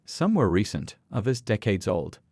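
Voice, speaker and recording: A man reading a scripted, sentimental story in a measured, earnest storytelling manner. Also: on the low side, sing-song, darkish tone, no room sound. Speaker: story narrator.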